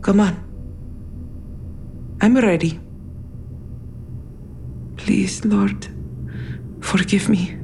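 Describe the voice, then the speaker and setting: An actress playing a resigned, guilt-ridden woman who calmly accepts her fate, in an audio drama. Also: medium pitch, extremely slow, long pauses, background noise. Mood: whispered prayer. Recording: music clearly underneath.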